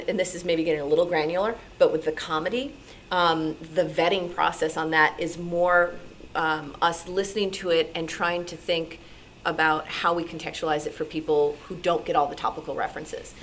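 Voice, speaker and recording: A woman speaking informally and animatedly as a radio programmer answering questions, halting as she thinks aloud, recorded live at a meeting. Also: lowish voice, steady tone, a bit bright, a little hiss or hum. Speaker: radio show programmer taking audience questions. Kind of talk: informal talk with audience questions. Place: club meeting room.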